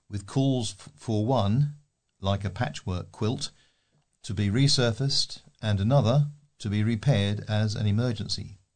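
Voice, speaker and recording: A man's voice: on the low side, darkish tone. A man with a British accent reading local newspaper articles aloud as a volunteer newsreader.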